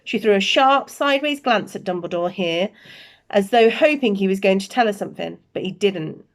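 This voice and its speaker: A woman narrating a bedtime story in an expressive storytelling style. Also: medium pitch, normal speed.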